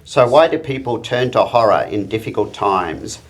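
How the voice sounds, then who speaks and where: A man presenting an award at a ceremony in a measured, formal way. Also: on the low side, expressive. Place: event venue.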